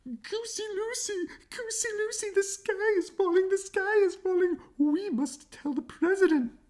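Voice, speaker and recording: A woman voicing a frantic, dim-witted hen for a children's storybook, calling out in shrill, repetitive panic. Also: measured pace, darkish tone. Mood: panicked, urgent. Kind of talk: children's storybook read-aloud.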